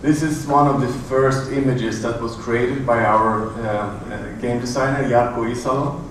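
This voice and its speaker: A middle-aged man giving a conference talk in a large hotel room, halting with many fillers and restarts. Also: medium pitch, steady tone. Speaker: conference presenter. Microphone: room audio from a far camera. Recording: echoey, distant room recording with noise.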